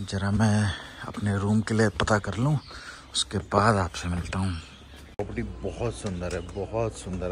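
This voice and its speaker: A man's voice: on the low side, a little hiss or hum. Chatty man vlogging on a mountain road. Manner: chatty, exclaiming over the scenery. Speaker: travel vlogger. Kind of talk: casual travel vlog. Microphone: phone at arm's length.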